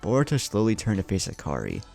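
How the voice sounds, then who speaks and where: A man's voice: lowish voice, wildly swooping pitch, fast talker, creaky, a little mellow, faint music behind. A man reading a scripted adventure story aloud as its narrator. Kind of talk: scripted narration of fan fiction.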